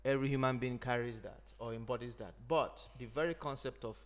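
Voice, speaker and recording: A man from Nigeria, an Igbo speaker, talking in a thoughtful, unhurried way as a podcast host. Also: medium pitch, steady tone. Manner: thoughtful, unhurried, frequent "you know". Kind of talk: reflective conversation.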